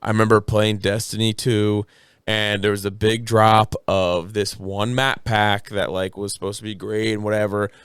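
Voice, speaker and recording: A man chatting casually as a podcast co-host, with a clear, smooth voice. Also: on the low side, little pitch movement, moderate pace, a bit bright, no echo, clean studio sound. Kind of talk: casual chat.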